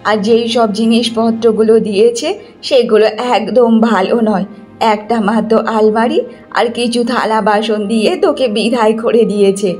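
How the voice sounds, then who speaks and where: Casting: woman playing greedy, scolding cartoon mother-in-law, middle-aged, harsh and high-voiced. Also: steady tone, moderate pace, a little mellow, faint music behind, close to an everyday voice. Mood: accusing. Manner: scolding, domineering, contemptuous. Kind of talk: cartoon moral story acting.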